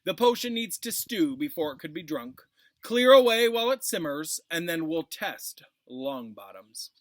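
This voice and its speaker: A man reads as a cold, sneering schoolmaster from a children's fantasy book. He sounds strict and menacing, leaning hard on his words with big pitch swings. The sound is close and dry. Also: sing-song, no echo. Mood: stern, commanding. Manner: cold, commanding, drawn-out emphasis.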